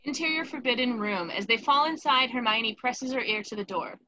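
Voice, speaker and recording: Bright-voiced woman reading stage directions. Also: a little high, fairly quick, lo-fi sound. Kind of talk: scripted narration in a table read. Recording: faint background noise.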